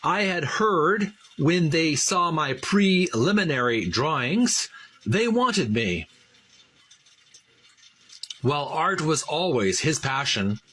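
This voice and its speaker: A man presenting and reading aloud as a video host, in a deliberate, emphatic way with broken phrasing. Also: a little high, a bit bright, breathy edge, rough recording. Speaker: host of a video series on retro game art. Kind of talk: casual presenting and slow, halting read-aloud from a book.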